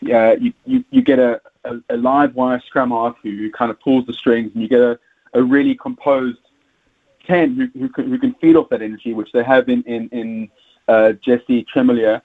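A South African man discusses rugby over a tinny, phone-like line. He talks in halting bursts, repeating words and dropping fillers as he thinks aloud. His pitch sits in an ordinary middle range. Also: expressive. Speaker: rugby pundit guest. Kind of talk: casual interview chat.

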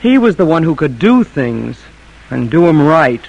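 A woman's voice: deep voice, sing-song, measured pace, vocal fry, a little mellow, highs cut off, exaggerated voice. An actress playing an anxious, strong-willed, middle-aged colonial wife and mother, speaking with emphatic repetition in an old-time radio drama. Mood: wry, reflective. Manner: emphatic, repeating phrases for weight.

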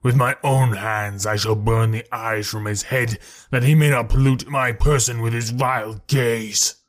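A man's voice: medium pitch, fairly even intonation, a little slow, close to an everyday voice. A man voicing an enraged, tyrannical male alien warlord who shrieks and roars his commands. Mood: raging.

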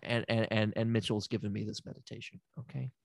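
A man's voice: on the low side, fairly even intonation, quick pace, creaky, no echo, studio quality. A man playing a stammering, bumbling therapist. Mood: hushed. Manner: stammering, rambling, then hushed guided-meditation voice. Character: bumbling, flustered male therapist. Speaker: therapist character. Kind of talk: improvised comedy podcast.

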